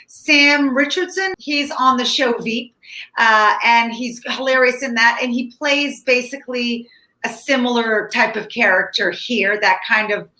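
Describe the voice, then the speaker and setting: A woman with a fairly high, bright voice talks through a movie review in a casual, conversational style. Her sound is clearly roomy, with echo around the words. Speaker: film critic co-hosting a movie review. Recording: echoey, reverberant room.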